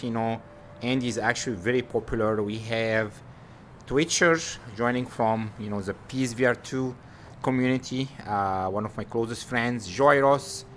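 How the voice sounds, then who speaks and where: A man with a mid-range voice hosts a live interview show. He talks eagerly but haltingly, with slightly non-native phrasing. A faint ambient hiss sits under his voice. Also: mid-range voice, fairly bright tone. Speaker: host.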